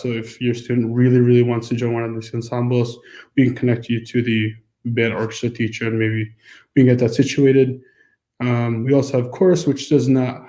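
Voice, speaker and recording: Soft-voiced man explaining in a roomy space. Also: medium pitch. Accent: American. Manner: casual, explanatory, many fillers. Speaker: school staff member. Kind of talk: screen-recorded walkthrough.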